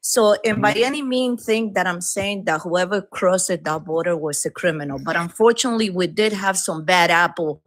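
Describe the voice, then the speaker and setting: A woman's voice: lowish voice, moderate pace, hardly any pauses, no echo. A woman from Latin America with a Latin American Spanish accent, earnestly explaining her views at length on a live panel. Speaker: caller.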